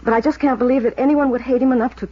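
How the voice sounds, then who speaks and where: An actress playing the anxious, guarded American wife of a missing man, halting with restrained worry, in 1950s radio drama style. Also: high-pitched, fairly even intonation. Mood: worried, defensive. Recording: dull vintage radio recording.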